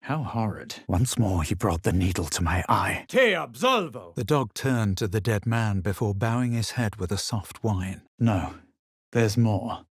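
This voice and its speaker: An actor playing a haughty, self-assured young adventurer in a fantasy video game, speaking in a measured, literary manner. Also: male voice, fairly low pitch, animated, slightly rough, no echo, studio quality, full character voice. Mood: forceful incanting. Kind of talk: video game character narration and barks.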